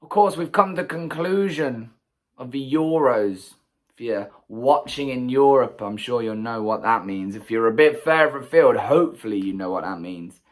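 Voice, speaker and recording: A man from England with a croaky voice, chatting casually and enthusiastically as he recaps a music festival for his vlog. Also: medium pitch, expressive, moderate pace. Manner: chatty, enthusiastic, rambling asides. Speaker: festival vlogger. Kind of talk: casual solo recap to camera.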